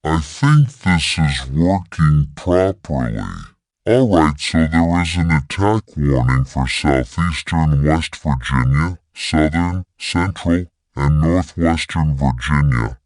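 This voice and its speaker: A man's voice: a bass voice, slow, darkish tone, no echo, clean studio sound. A man reading out a mock warning report as a weather presenter.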